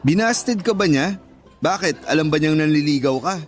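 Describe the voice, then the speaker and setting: Aktor bilang mapang-asar na kaibigan, mataas ang boses. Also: male voice, fairly high pitch, expressive, normal speed, soft background music, lightly acted. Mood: teasing. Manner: mocking, jokey. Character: teasing male best friend and kitchen coworker. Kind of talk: Tagalog radio drama acting.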